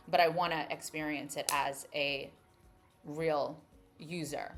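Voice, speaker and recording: American female content creator, slightly low voice, casual tutorial delivery, explanatory with frequent check-ins. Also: a bit bright. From United States. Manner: explanatory, often checking in with "right?". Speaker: travel content creator and host. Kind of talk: casual screen-share app walkthrough.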